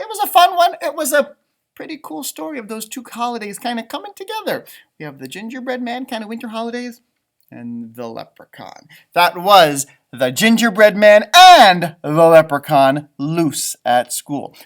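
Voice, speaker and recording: A man doing an expressive children's picture book read-aloud, with chatty asides and musing exclamations. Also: high-pitched, normal speed. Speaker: storytime reader and elementary teacher.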